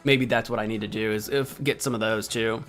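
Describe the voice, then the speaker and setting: A man's voice: fairly low pitch, steady tone, a little fast, fairly bright tone, faint music behind. An American man casually thinking aloud while playing a game on stream, rambling, correcting himself and bantering with chat in a mock-indignant way. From United States. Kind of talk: casual gameplay commentary.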